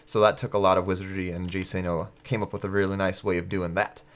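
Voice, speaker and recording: A man's voice: sits low, flat delivery, fast. A man with a stuffy, congested nose hosting a gaming video, explaining things in a casual, conversational walkthrough style. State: congested with a cold. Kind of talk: casual game walkthrough commentary.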